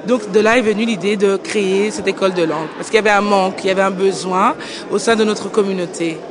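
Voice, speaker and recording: A woman's voice: mid-range voice, steady tone, fast talker. A young woman from Belgium, co-founder of a language school, answering interview questions in an earnest, articulate way. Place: indoor book fair hall. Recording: busy crowd chatter behind.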